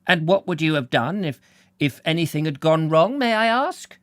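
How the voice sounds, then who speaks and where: A man voicing an elderly male doctor, indignant and easily shaken, his voice jumping high in outrage. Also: animated, normal speed, dry recording, studio quality, close to an everyday voice. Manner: indignant, rising to high exclaims.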